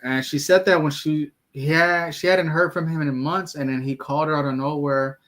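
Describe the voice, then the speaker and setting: Blunt, profane American man, higher voice. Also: steady tone, moderate pace, a bit bright. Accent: Mexican-American. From United States. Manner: blunt, profane slang-heavy storytelling. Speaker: streamer. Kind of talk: casual chat. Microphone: streaming call mic.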